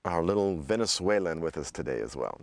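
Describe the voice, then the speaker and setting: A man's voice: sits low, lively intonation, fairly quick, no room sound. Scratchy-voiced man hosting a language podcast. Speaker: podcast host and language teacher.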